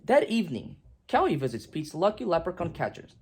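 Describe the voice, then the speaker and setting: A woman reading a children's picture book aloud in an animated, expressive way with emphatic swings and hushed drops. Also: on the low side, fairly quick, slightly rough.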